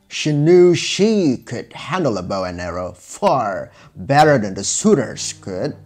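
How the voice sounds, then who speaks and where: Casting: male fairy-tale narrator, slightly raspy, lively intonation, measured storybook delivery. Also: medium pitch. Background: music.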